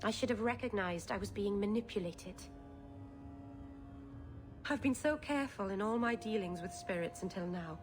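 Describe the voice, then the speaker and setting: An actress playing a remorseful young elven mage who speaks in a halting, apologetic way. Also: female voice, medium pitch, soft background music, natural voice.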